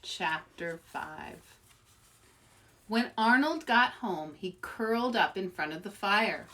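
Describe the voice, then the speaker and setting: A woman reading aloud at children's story time as an expressive, dramatic storyteller. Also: medium pitch, slow pace, far from the mic. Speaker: author reading aloud, host.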